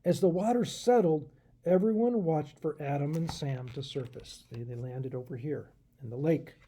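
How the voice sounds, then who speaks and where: Pastor guy doing kids storytime, husky mellow voice, really expressive. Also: fairly high pitch, normal speed. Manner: animated, asks the listeners questions. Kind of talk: expressive children's storybook read-aloud.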